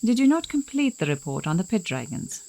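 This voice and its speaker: An actress playing a cool, analytical science officer in a sci-fi audio drama, precise, dry and curious. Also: female voice, medium pitch, very animated, a little fast, gravelly, warm tone.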